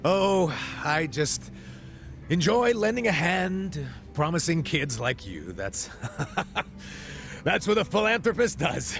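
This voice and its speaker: Gravelly, expressive middle-aged ex-cop bluffer. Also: male voice, a little high, takes it slowly, a little hiss or hum, heavily acted. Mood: flustered, putting on airs. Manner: casual, slangy, blustering. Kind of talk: video game cutscene dialogue.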